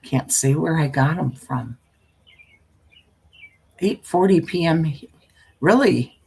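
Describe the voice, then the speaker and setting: Slow, husky older woman, folksy storyteller. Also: low-pitched, lively intonation, dark tone. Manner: folksy, meandering, often trailing off and repeating. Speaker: storyteller and live stream host. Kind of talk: casual rambling chat with viewers; reading a spooky story aloud.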